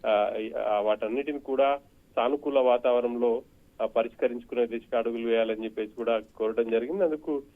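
A man reporting as a news correspondent over the telephone, halting and hesitant in broken phrases. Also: medium pitch. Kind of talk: live unscripted phone report. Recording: muffled narrow-band phone line.

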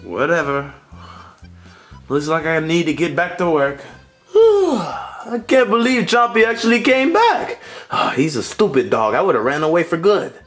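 A man's voice: a little high, sing-song, unhurried, fairly bright tone, soft background music. A man voicing an irritable, put-upon household cook in a puppet comedy, complaining and easily flustered. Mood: grudging, muttering. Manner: exasperated, complaining, repeats words when flustered. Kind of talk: scripted puppet comedy acting.

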